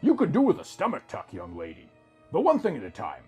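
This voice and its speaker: A man's voice: on the high side, brisk, slightly rough, faint music behind, heavily acted. A man voicing a thin grey rock-creature doctor in a fantasy story, cold, detached and clinical. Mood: detached, businesslike. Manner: flat, clinical, impersonal. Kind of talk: storybook character dialogue within narration.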